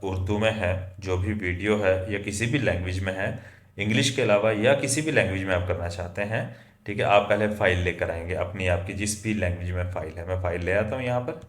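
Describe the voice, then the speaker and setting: A man hosting a software tutorial, explaining step by step in an instructive way and often checking that listeners follow. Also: deep voice, a little fast. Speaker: tutorial host. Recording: echoey room, slightly muffled.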